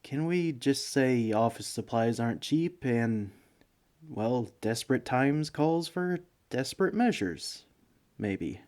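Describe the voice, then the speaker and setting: A man's voice: mid-range voice, expressive, takes it slowly, darkish tone. A man playing a bumbling, broke villain neighbor in a comedic audio roleplay, speaking in a hesitant, pleading, self-justifying way. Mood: sheepish. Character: broke, bumbling, sheepish male supervillain neighbor begging for sugar. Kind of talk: comedic ASMR roleplay monologue.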